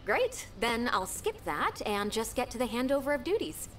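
A brisk, meticulous secretary. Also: female voice, fairly high pitch, big pitch swings, a little hiss or hum. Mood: businesslike. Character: brisk young female secretary, meticulous, polite. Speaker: game character, secretary. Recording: distant voice with game music behind.

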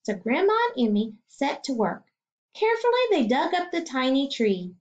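A middle-aged woman teacher reading a children's picture book aloud at home, slow and expressive, pausing to ask her listeners questions. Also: sits high. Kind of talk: children's storybook read-aloud. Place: home living room. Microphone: webcam screen recording. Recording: dull lo-fi webcam audio.

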